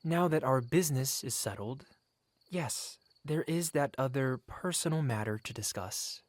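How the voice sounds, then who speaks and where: A man's voice: medium pitch, a little slow, lightly acted. A man playing a wealthy, covetous dragon in human form, speaking eloquently and formally in a theatrical ASMR roleplay monologue. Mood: pleased. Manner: eloquent, formal, courtly, self-satisfied.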